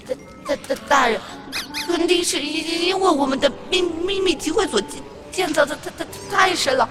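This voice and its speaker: Casting: tiny fawning monster lackey, very high-pitched, stammering, radio drama, echoey with loud music. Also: female voice, fairly quick. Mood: nervous excusing. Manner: heavy nervous stammer. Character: small timid fawning monster henchman. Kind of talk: fantasy radio drama acting.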